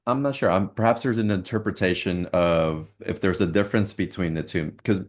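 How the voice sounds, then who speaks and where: A man hosting a spiritual talk, asking thoughtful questions in a soft, halting way. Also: deep voice. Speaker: host. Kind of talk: casual interview chat.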